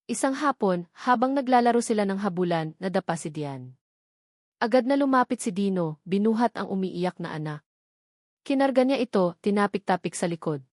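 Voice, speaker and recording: Female Filipino audiobook narrator, mid-pitched, measured dramatic delivery with weighted pauses, close dry recording. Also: animated, a little mellow, dry recording. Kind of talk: scripted dramatic story narration.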